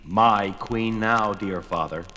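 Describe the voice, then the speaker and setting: A sharp, forceful villain's voice, ringing with long reverb. He plays a cold, imperious sorcerer prince in a seventies fantasy audio drama. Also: fairly low pitch, takes it slowly, slight background noise. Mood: cold menace. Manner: imperious, menacing. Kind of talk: 1970s audio drama acting.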